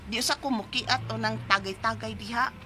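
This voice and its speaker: A woman acting a lively, caring single mother of thirty in a Cebuano radio drama, speaking expressively with a Cebuano accent. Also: fairly high pitch, fairly quick, a little hiss or hum. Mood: bantering. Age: 30s. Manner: expressive, animated. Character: 30-year-old single mother, lively, caring, worried about her child. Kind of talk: Cebuano radio drama, letter narration and dialogue.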